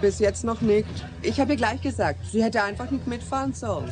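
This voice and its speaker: An actor playing a coquettish, unafraid drag streetwalker in a German radio play, slangy, cooing and cheeky. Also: male voice, sits high, brisk, lightly acted. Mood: chatty.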